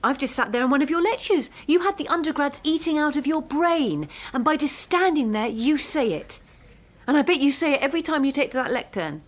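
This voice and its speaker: An actress playing a driven, privileged young postgraduate who argues insistently and articulately in a radio drama. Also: female voice, sits high, lively intonation, fairly quick. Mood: challenging. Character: earnest, driven, privileged female postgraduate student.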